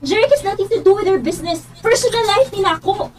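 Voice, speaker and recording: A woman's voice: very high-pitched, lively intonation, quick pace. A woman playing an assertive, loyal young girlfriend and daughter in a radio drama, firm, with a Cebuano Filipino accent. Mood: firm, defiant. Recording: noticeable background noise.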